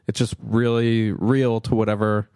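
A man's voice: on the low side, level tone, unhurried, darkish tone, smooth, no echo. Easygoing male comedian co-host, dry sound. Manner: agreeable, frequent "yeah" backchannels. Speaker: podcast co-host and stand-up comedian. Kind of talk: casual podcast chat.

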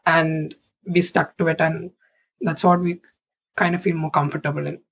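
A woman's voice: low-pitched, flat delivery, normal speed, slight background noise. A woman in her twenties from India with an Indian English accent, a native Malayalam speaker, chatting as an interview guest and filling gaps with "like" and "uh". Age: 20s. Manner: chatty, fills with "like" and "uh". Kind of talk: casual interview answers.

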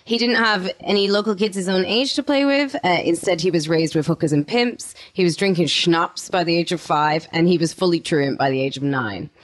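A woman with a low voice co-hosts a true-crime podcast. She tells the case in a relaxed way and keeps breaking in with playful asides. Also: moderate pace, hardly any pauses. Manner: laughs often, playful asides. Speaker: podcast co-host.

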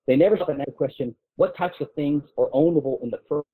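Man, phone-like thin audio, husky unclear voice, informal debate, halting delivery with repeated words. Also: fairly high pitch. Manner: halting, repeats words while building arguments. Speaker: guest speaker on a discussion show. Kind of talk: informal intellectual argument. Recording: muffled narrow phone-line sound.

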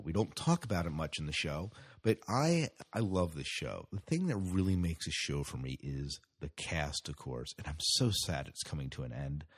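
A man's voice: sits low, slightly rough. A man hosting a podcast with casual solo commentary, rambling and hesitant, often repeating his phrases. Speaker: podcast host.

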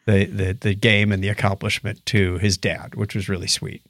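A man's voice: on the low side, moderate pace, dry recording, clean studio sound. A middle-aged man hosting a casual podcast, chatting in a playful storytelling manner. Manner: animated, playful storyteller, acts out dialogue and hums. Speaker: podcast host. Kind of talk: casual co-hosted podcast chat.

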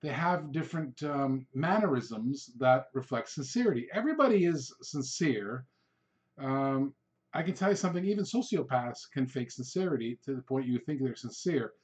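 A man's voice: mid-range voice, animated, moderate pace. A man, a native Hungarian speaker, hosting an informal solo coaching podcast, talking in an emphatic, rambling way and repeating himself to drive points home. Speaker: podcast host, real estate sales coach. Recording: dull, lo-fi podcast recording.

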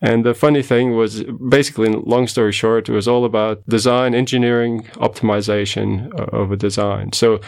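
A middle-aged man from Portugal with a Portuguese accent, giving measured, explanatory answers as a guest scientist in an interview. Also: fairly low pitch, fairly even intonation, vocal fry, a little mellow. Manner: measured, explanatory, self-correcting.

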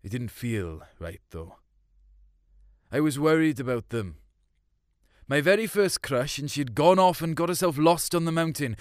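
A man's voice: a little high, expressive, a little slow, pauses often, dry recording, clean studio sound. Man playing a wistful Welsh hill farmer reminiscing. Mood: troubled, wistful. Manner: colloquial, dialect grammar, wistful. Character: Uncle Jack, big bearded Welsh hill farmer reminiscing fondly. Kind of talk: storytelling short-story reading.

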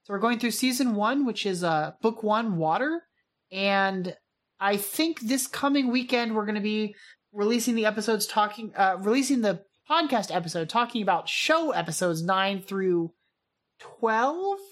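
Female podcast co-host, mid-pitched, informal banter with a teasing, enthusiastic fan edge. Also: expressive, normal speed. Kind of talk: casual banter podcast chat.

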